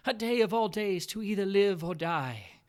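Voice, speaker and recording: A solemn, mystical young wife on her deathbed, voiced by a man reading a storybook aloud. Her voice sits higher than the reader's own and rings out louder, drawing out each archaic phrase like a prophecy. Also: animated, lightly acted. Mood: solemn prophetic. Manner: intoned, archaic solemn speech, drawn-out phrases.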